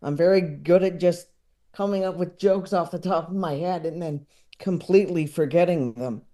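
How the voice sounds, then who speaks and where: A man's voice: high-pitched, moderate pace, mellow. A man chatting casually as an interview guest, hesitant and rambling, with a self-deprecating way of talking. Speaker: podcast guest, comedy writer and filmmaker.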